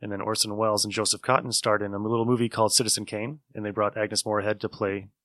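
A man hosts a casual chat in a bright, slightly low voice. He is informal and emphatic, and the sound is very dry. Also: flat delivery, fast, no echo. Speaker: podcast host. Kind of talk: casual chat about old radio shows.

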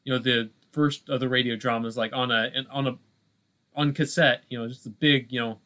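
A man co-hosting a podcast in casual chat, halting and full of filler phrases. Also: mid-range voice, fairly even intonation, sharp tone. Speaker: podcast co-host.

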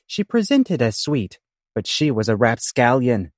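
Male comedy co-host, lively swooping intonation, middle register, theatrical delivery. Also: mid-range voice, a little slow, dry recording, clean studio sound. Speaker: podcast co-host. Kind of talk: theatrical comedy banter and narration.

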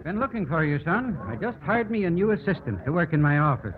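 A man's voice: fairly high pitch, very animated, quick pace. An actor playing a jovial, fatherly small-town judge in a folksy, hearty 1950s radio drama style. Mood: jovial, excited. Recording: tinny vintage radio recording, party crowd chatter.

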